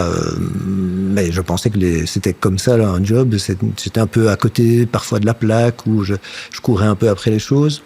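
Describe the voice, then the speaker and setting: Low-voiced Belgian man, slight Flemish tinge. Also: dark tone, husky. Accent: slight Flemish-tinged Belgian French. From Belgium, near Brussels. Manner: reflective, hesitant, many fillers and restarts. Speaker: guest, actor and puppeteer. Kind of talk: casual interview talk.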